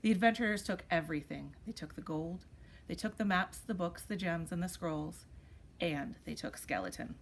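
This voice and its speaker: A woman's voice: lowish voice, moderate pace. Woman reading a storybook, noisy recording. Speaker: pre-K teacher reading aloud. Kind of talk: expressive children's storybook read-aloud.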